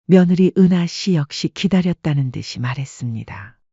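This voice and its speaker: A woman narrating a scripted story with a soft, husky voice. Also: low voice, lively intonation, normal speed, no echo, lo-fi sound.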